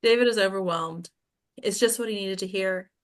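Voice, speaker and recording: A woman's voice: medium pitch, moderate pace. A woman hosting a table read, reading out screenplay stage directions as scripted narration.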